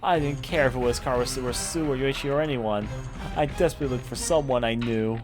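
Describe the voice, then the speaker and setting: A man's voice: medium pitch, fast. A man reading a horror visual novel aloud as a let's player, in dramatic first-person story narration. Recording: music behind the voice. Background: game music.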